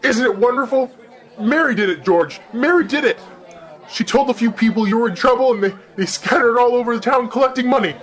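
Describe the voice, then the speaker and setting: A man plays a giddy elderly uncle with a very high, thin voice. He rushes out his exclamations breathlessly and laughs through them. A faint crowd murmurs behind him. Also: a bit bright. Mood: elated.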